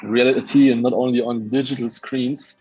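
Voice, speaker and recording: A man from Hungary with a Hungarian accent, a muralist guest on a video call, speaking haltingly with many restarts as he searches for words. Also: medium pitch, level tone, darkish tone, highs cut off, soft background music. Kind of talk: casual interview chat. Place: home, remote video call.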